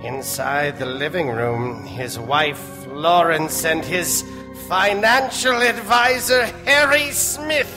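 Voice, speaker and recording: Macabre horror host, ominous, very slow, theatrical, old-time radio, reverberant hall. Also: male voice, fairly high pitch, animated. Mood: ominous storytelling. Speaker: host and storyteller of a horror serial. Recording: reverberant stage hall, music behind.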